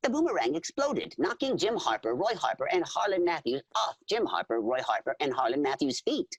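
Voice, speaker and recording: A woman playing an eager alien storyteller who narrates adventure formally and dramatically, with a husky voice and spoken sound effects. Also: deep voice, very animated, fairly quick, dry recording, muffled recording, full character voice. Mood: dramatic. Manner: formal, repeats full names instead of pronouns.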